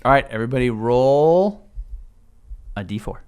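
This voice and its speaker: A man's voice: medium pitch, lively intonation, normal speed, darkish tone, clear, resonant tone. A man working as game master, narrating a tabletop game casually and bantering playfully with his players.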